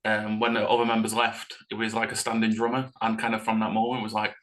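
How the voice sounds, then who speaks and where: A man's voice: lowish voice, monotone, fast talker, a bit bright. A man who sings in a band, chatting casually and informally in an interview. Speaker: band vocalist.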